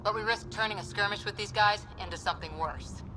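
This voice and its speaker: A woman's voice: medium pitch, brisk, pushed and bright, a little hiss or hum, lightly acted, poor audio. An actress playing a pragmatic, cautious female squad member in a video game cutscene. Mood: wary.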